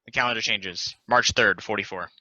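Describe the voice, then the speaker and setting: A man narrating stage directions at a play table read in a plain, deliberate, announcing manner. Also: on the low side, pushed and bright, no room sound, dull audio.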